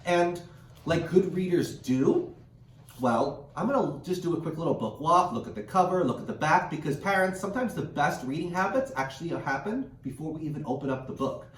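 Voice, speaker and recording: Animated higher-voiced man reading to children. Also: fairly quick. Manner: encouraging, expressive, chatty explanations. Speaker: teacher reading a picture book aloud to children. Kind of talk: virtual read-aloud with asides to children and parents. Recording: roomy, distant mic with faint noise.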